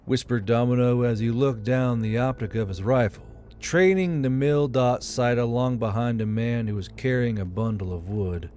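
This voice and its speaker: A man's voice: mid-range voice, normal speed, few pauses, dark tone, slight background noise. A man reading military fantasy fiction as a scripted audiobook narration. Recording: music under the voice.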